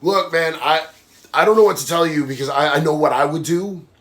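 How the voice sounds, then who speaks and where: A man's voice: a little high, fairly quick, a bit bright. A man hosting a live stream, nasal from a sinus infection, talking bluntly and heatedly while reading viewer comments. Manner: blunt, heated, says bro a lot. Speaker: livestream host.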